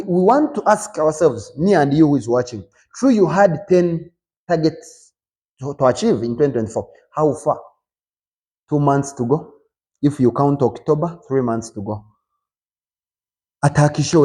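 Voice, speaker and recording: Emphatic motivational man, mellow voice. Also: a little high. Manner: rhetorical questions with frequent "hmm?"; emphatic, rises sharply on key points. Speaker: show host. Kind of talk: motivational talk to viewers.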